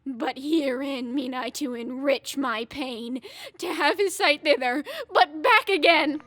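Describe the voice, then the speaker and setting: A woman's voice: high-pitched, takes it slowly, fairly bright tone. A woman playing a lovesick, wounded young woman who schemes, speaking Shakespearean verse in an audio drama. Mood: resolved.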